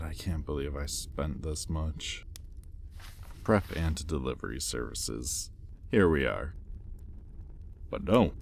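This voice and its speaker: Man playing a very deep, slow, imperious demon. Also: vocal fry, a little mellow, slight background noise, full character voice. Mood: irritated, impatient. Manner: slow, deliberate, menacing drawl. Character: imperious male demon buyer, sardonic and exasperated. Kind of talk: intimate audio roleplay acting.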